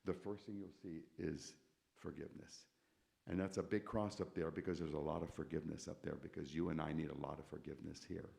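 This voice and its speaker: Older male preacher, deep and gravelly, emphatic church testimony with big dynamic swings. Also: steady tone, fairly quick. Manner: emphatic preacher, repeats key words, swings from quiet to loud. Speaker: concert pianist giving testimony. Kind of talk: testimony sermon to a congregation. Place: church sanctuary.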